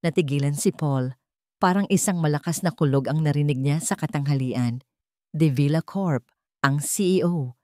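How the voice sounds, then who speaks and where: A dry, close-sounding recording of a woman storyteller with a Filipino accent. Her voice sits fairly low as she delivers scripted dramatic fiction. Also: darkish tone, dry recording.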